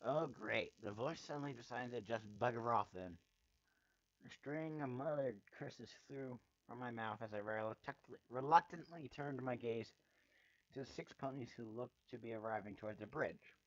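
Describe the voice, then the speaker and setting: A man narrates fiction in a dramatic, sardonic style. His voice sits at an average pitch. The recording is very dry and somewhat dull and lo-fi. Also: moderate pace, no room sound. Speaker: amateur fanfiction reader. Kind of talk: dramatic first-person story narration.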